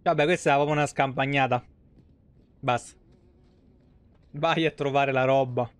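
A man's voice: mid-range voice, fairly even intonation, normal speed, clean tone, no room sound. A man, giving casual live game commentary full of slang and swearing. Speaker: game streamer. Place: indoor room at home, streaming on webcam.

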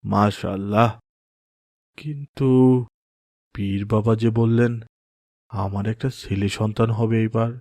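A man's voice: lowish voice, fairly even intonation, a little slow, pauses often, a little mellow, no echo, clean studio sound. A man voicing an adult father in a cartoon, dejected and easily swayed, with a hesitant, earnest manner. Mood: distracted, disappointed. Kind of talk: cartoon voice acting in moral story.

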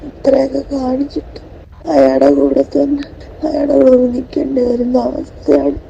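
A woman's voice: sits high, flat delivery, moderate pace, creaky, dark tone. A distressed, pained woman with a Kerala Malayalam accent speaking in a private voice message to a friend. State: distressed, in pain. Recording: dull, noisy played-back voice message.

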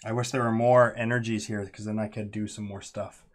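A man's voice: fairly low pitch, little pitch movement, brisk. A middle-aged man casually explaining and coaching as he co-hosts an indoor board game playthrough. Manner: explanatory, instructing his co-player. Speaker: board game show co-host and player. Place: indoor room at a game table.

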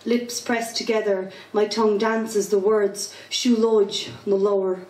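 A Cork Irish woman in her thirties speaks casually and recites poetry with a rhythmic, self-deprecating lilt. She is heard through a handheld mic in a pub, caught from some distance in a roomy, echoing space. Her voice sits at an ordinary middle pitch. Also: level tone, a little slow, darkish tone. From Ireland. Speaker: spoken word poet. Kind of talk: casual interview and poetry performance. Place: pub with small stage. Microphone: handheld mic, far camera. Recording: echoey pub from far off.

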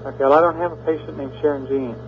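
A man's voice: a little high, fairly even intonation, racing. A man, a doctor on a phone call, giving brief, guarded, hesitant replies. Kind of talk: phone conversation. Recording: noisy, tinny telephone line.

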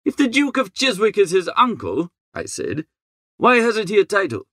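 A man reading an audiobook as a chatty, breezy young English gentleman, full of jaunty old-fashioned upper-class slang. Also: sits high, huge pitch swings, moderate pace, no room sound, studio quality, full character voice. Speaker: narrator. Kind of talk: scripted first-person audiobook narration.

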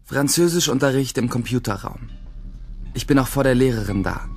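A man's voice: medium pitch, lively intonation, moderate pace, natural voice. An actor playing a cocky, computer-savvy teenage schoolboy who narrates his day in a radio drama. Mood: wry.

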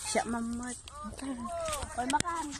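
A high-voiced woman chats casually while filming on her phone out in a cornfield. She drops English phrases into her talk. Noticeable noise runs under her voice on the phone recording. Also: slow. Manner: chatty, mixes in English phrases. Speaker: vlogger filming a harvest. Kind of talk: casual chat. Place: outdoors in a cornfield. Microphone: phone camera held by the speaker. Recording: outdoor phone audio with noise.

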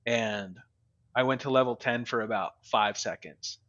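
A man in his forties, a podcast guest, talking slowly and reflectively with many pauses and ums over a video call. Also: medium pitch, a bit bright, muffled recording. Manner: slow and reflective, many ums, laughs easily. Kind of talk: casual interview talk. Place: home room. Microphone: studio mic on video call with headphones.

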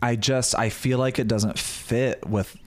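A man's voice: mid-range voice, steady tone, unhurried. A young man from Indiana, a comedian guest on a podcast, talking casually and hesitantly with many restarts, in a small studio on a close mic. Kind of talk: casual chat. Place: small studio room, indoors. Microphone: studio mic with headphones.

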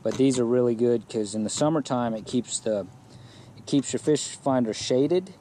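A man's voice: mid-range voice, moderate pace, slight background noise. Laid-back man touring his fishing kayak. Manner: relaxed, plain-spoken, many fillers. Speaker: kayak angler showing his setup. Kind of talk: casual gear walkthrough. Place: outdoors in a yard beside a kayak.

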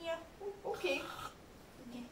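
A woman's voice: extremely high pitch, lively intonation, normal speed. A young-sounding woman voicing scheming, bumbling comic men in an amateur puppet show, with a hesitant, exaggerated delivery. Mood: plotting, impatient. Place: indoor room with puppet stage. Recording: echoey room, distant mic, faint noise.

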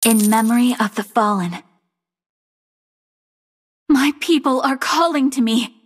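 A woman voicing a noble, sorrowful undead queen in a video game, with a breathy voice and a solemn, theatrical, regal delivery. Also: a little high, heavily acted. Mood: solemn, determined. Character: sorrowful, noble undead queen guarding her fallen kingdom. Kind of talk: video game hero voice lines.

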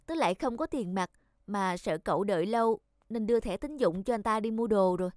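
A woman voicing a gullible, soft-hearted young woman in a cartoon, meek and apologetic. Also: mid-range voice, expressive, a little fast, close to an everyday voice. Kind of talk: animated cartoon dubbing.

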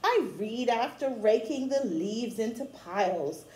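A middle-aged woman reading a storybook aloud to young children, with chatty asides, in an expressive, encouraging way. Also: on the high side, measured pace. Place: small room at home, indoors. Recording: echoey small room.

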